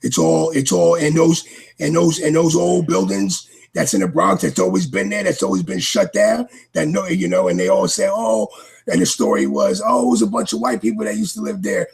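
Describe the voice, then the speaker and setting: A man's voice: on the high side, huge pitch swings, moderate pace, gravelly, slightly rough. A man from New York with a New York accent, rambling animatedly in a casual chat as a podcast guest.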